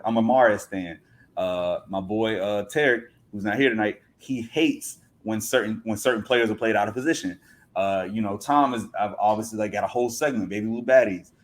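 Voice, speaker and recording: A man chatting casually as a podcast guest, talkative and explaining things at length. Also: on the low side.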